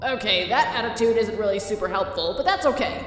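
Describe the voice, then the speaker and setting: Comic-dub hero: earnest, insistent young male skeleton female actor, grandiose, animated delivery, distant in an echoing hall. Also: medium pitch, brisk, close to an everyday voice. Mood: gentle, persuading. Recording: echoey hall, distant, faint music.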